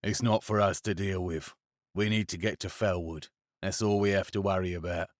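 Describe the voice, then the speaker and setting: A man voicing a calm, steely male companion who speaks tersely and cautions, in an audiobook. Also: sits low, normal speed, no echo, clean studio sound, pitched below the performer's own voice, natural voice. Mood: guarded.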